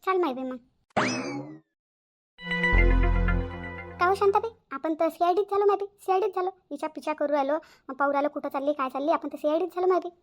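A woman's voice: very high voice, very fast, faint music behind. A woman voicing a timid, curious village sidekick in a cartoon, exclamatory and questioning, with a Varhadi Marathi accent. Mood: nervous, excited. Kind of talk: cartoon character dialogue.

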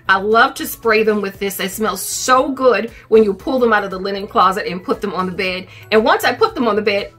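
Casting: middle-aged woman, bright forward voice, casual home haul-video chat, music underneath. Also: mid-range voice. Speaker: vlogger. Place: furnished living room at home, indoors.

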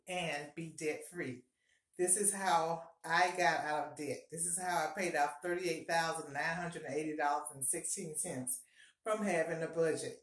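A middle-aged woman giving upbeat, encouraging budgeting advice to camera in a casual home vlog, often repeating her key points. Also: sits low. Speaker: personal finance vlogger. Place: small home bathroom, indoors. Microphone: fixed camera at close range. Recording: echoey small bathroom.

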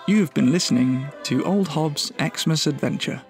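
A man's voice: a little high, a little slow, creaky. A man reading scripted end credits as an announcer. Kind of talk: scripted narration. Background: music.

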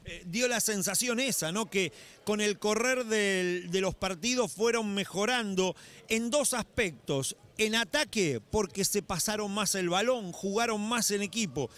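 A man's voice: sits high, unhurried, a bit bright. A middle-aged man conducting a post-game interview in an indoor basketball arena, holding a handheld mic and asking long, formal questions. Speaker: courtside interviewer.

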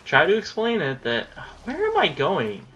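Chatty young man gaming, bright voice. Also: high voice, huge pitch swings, a little slow, highs cut off, soft background music. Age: young adult. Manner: rambling, chatty, swears when the game goes wrong. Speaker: gaming YouTuber. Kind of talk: casual commentary over gameplay.

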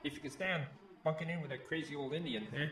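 A man's voice: fairly high pitch, steady tone, quick pace, fairly bright tone, roomy sound, a little hiss or hum, close to an everyday voice. An actor playing a hospitable old backwoods camp man in a radio drama, with clipped, folksy phrasing. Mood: folksy, teasing. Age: older.

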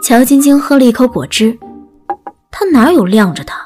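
A woman narrates a story in a scripted audiobook style. Her voice sits a little high. Soft music plays faintly behind her. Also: animated, moderate pace.

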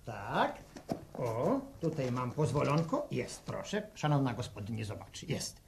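A man's voice: medium pitch, very animated, a little slow, vocal fry, slight background noise. An actor playing a fawning, fussy travelling portrait salesman in a 1960s radio drama, with wheedling, hesitant patter. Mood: wheedling, defensive.

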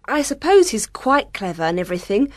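A woman's voice: on the high side, big pitch swings, a little fast, dry recording, clean studio sound. A woman voicing an outspoken, emotional, strong-willed young woman, earnest and emphatic, in a dramatised audiobook. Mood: earnest, opinionated.

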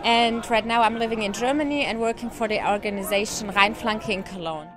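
A young woman from Iran, answering interview questions outdoors in a quick, hesitant way. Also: a little high, a crowd in the background. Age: young adult. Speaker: youth worker. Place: outdoors by a sports field.

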